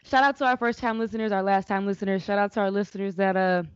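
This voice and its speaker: A woman's voice: mid-range voice, steady tone, fast, clear, resonant tone, no echo. A woman hosting a podcast in casual chat, talking in easygoing slang with lots of fillers. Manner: laid-back slang, filler-heavy rambling. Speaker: podcast host. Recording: dry, muffled lo-fi audio.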